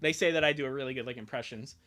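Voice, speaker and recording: A man's voice: medium pitch, racing, pushed and bright. A young man chatting casually on a livestream in a rambling, self-deprecating, profane way. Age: young adult. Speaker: streamer.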